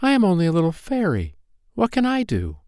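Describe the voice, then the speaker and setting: A man's voice: high-pitched, wildly swooping pitch, brisk, darkish tone, no room sound, clean studio sound, pitched above the performer's own voice, full character voice. A man voicing an eager, tender little fairy girl in a children's storybook, exclaiming everything with wide-eyed earnestness. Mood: distressed, pleading.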